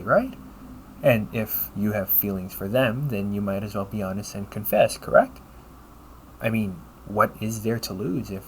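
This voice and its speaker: Low-voiced, polite A.I. companion played by a man. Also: fairly bright tone, a little hiss or hum, heavily acted, poor audio. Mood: encouraging. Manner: measured, formal phrasing with questioning tags. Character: polite, caring male A.I. companion, gently logical and encouraging. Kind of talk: audio roleplay addressed to the listener.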